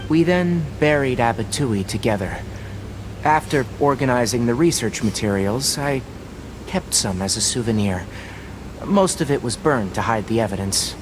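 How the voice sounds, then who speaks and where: A man voicing an earnest, reserved young forest watcher in a video game, speaking in a measured, articulate, matter-of-fact way. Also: mid-range voice, lively intonation, background noise, natural voice. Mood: earnest, reflective. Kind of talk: scripted video game voice acting. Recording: game audio with music behind.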